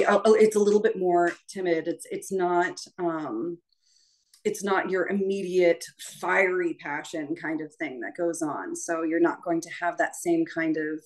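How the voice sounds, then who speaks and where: This is a woman with a slightly low-pitched voice, speaking as a voice teacher in an informal lesson. She explains in a conversational, halting way, often pausing to rephrase. Her manner is encouraging and affirming. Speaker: voice teacher leading an audition prep session.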